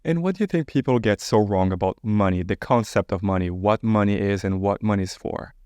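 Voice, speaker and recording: A man's voice: on the low side, a little fast, darkish tone, clear, resonant tone, no echo. A man hosting a podcast, asking short, curious questions. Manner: curious, brief prompting. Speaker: podcast host. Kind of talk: interview questions.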